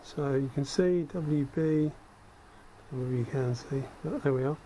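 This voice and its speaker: A man with a slightly croaky, cold-affected voice giving a casual hands-on demonstration, thinking aloud as he works. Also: medium pitch, a little slow, low-quality recording. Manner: conversational, self-deprecating asides, thinking aloud while working. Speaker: hobbyist host demonstrating spray gun equipment. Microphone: head-mounted action camera. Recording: steady background noise from workspace.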